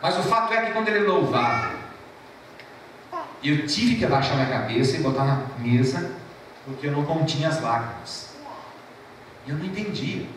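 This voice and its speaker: A man with a Brazilian Portuguese accent, a pastor preaching a sermon emphatically, repeating key phrases slowly and telling a story with animated rises and drops. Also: a little high, background noise. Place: church hall. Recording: echoey church from far off.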